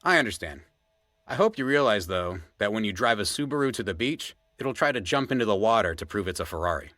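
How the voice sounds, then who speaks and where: Man acting as a low, dry-sounding podcast sidekick. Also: lively intonation, fast, sharp tone, no room sound, soft background music. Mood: wry, teasing. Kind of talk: audio drama dialogue.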